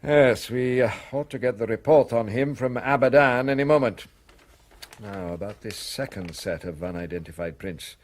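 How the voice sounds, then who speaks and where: An actor playing a brisk, probing police inspector in a radio play, thinking aloud in clipped phrases. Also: male voice, medium pitch, close to an everyday voice. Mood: musing, wry.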